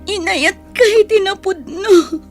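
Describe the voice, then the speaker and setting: Casting: young jilted sweetheart, very high voice, slow pleading delivery, Ilocano Filipino accent, radio drama over faint music. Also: female voice, heavily acted. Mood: tearful, pleading. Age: young adult. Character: heartbroken young woman, dumped by her boyfriend, tearful.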